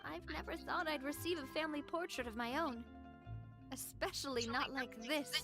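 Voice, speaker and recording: Game role: high-pitched young female president; sincere, refined, easily flustered, music underneath. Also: fairly even intonation, normal speed. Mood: sincere, wistful. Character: earnest young woman president, polite, easily embarrassed. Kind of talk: video game voice acting.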